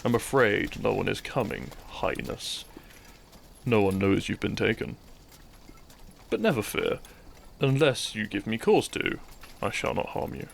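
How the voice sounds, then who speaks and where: A man playing a bitter, brooding thief in a scripted audio roleplay, speaking straight to the listener with mocking politeness and dramatic pauses. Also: lowish voice, creaky, a little hiss or hum. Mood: calm, menacing. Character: bitter young male thief, kidnapper, once a noble's childhood friend.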